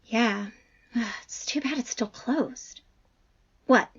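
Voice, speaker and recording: A woman's voice: fairly high pitch, slow, slightly rough, dull audio, heavily acted. A woman playing a hesitant, puzzled, cautious academic who questions things, in an audio drama.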